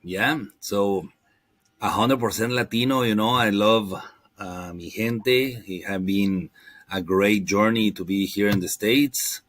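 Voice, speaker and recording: A man's voice: fairly low pitch, slow pace, a bit bright, no echo. A man in his forties from Guatemala, a native Spanish speaker with a Guatemalan accent, chatting casually as an interview guest and rephrasing as he goes. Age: 40s. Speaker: podcast guest, marketing entrepreneur.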